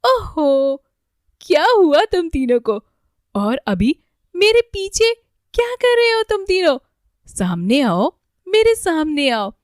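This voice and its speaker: A woman's voice: very high voice, sing-song, slow pace, no room sound, studio quality, voice pushed up, full character voice. A woman voicing a kindly elderly grandfather tree who chides and explains patiently in a children's cartoon. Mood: puzzled, chiding. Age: older. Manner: exclaiming, admonishing, patient explaining. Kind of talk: Hindi children's cartoon dialogue.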